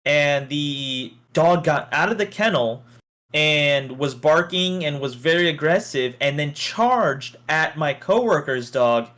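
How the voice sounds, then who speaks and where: Higher-pitched man chatting casually. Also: expressive, slow, a bit bright. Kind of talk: casual podcast chat and storytelling.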